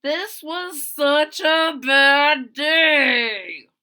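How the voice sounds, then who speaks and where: A woman reading a picture book aloud to children, expressive and chatty, adding friendly asides between the pages. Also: high voice, extremely slow. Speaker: storybook reader.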